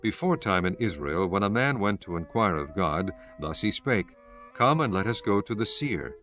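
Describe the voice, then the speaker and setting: A man narrating scripture from a script in a measured, formal manner. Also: deep voice, big pitch swings, fairly bright tone, faint music behind.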